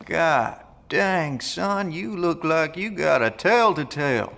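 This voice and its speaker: A man plays a folksy survivalist in an audio drama, blunt but friendly. His voice is creaky and gravelly, a little high for a man. It rings with long reverb, as in an empty hallway. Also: lively intonation, slow, a bit bright, heavily acted. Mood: folksy, matter-of-fact. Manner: folksy, colloquial, plain-spoken.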